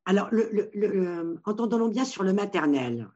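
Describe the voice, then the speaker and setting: A woman from Morocco, a therapist, answering interview questions in a thoughtful, halting way with many restarts. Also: mid-range voice, expressive, mellow, slightly rough. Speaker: Gestalt therapist. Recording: dull lo-fi sound.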